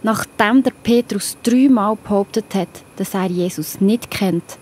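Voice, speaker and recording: A woman's voice: mid-range voice, unhurried, darkish tone, husky. A woman with a Swiss German accent narrating a scripted children's Bible story expressively, speaking directly to young listeners.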